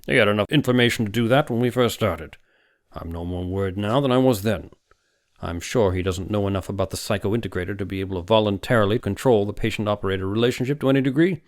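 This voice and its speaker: A man's voice: lowish voice, quick pace, close to an everyday voice. A man voicing a vehement, scheming older male psychiatrist in an audiobook, emphatic and condescending. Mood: confident, dismissive. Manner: emphatic, exclamatory, argumentative. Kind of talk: audiobook character voice.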